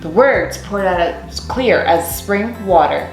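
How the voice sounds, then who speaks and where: A young woman reading a children's picture book aloud as a storyteller, with deliberate, expressive phrasing in a small room. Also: fairly low pitch, vocal fry. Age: young adult. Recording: roomy echo, faint music underneath.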